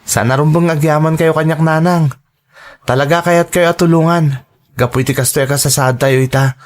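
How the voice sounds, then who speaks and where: A man's voice: on the high side, fairly even intonation, moderate pace, close to an everyday voice. A man playing a dutiful teenage son in a radio drama, tender and earnest, with an Ilocano accent.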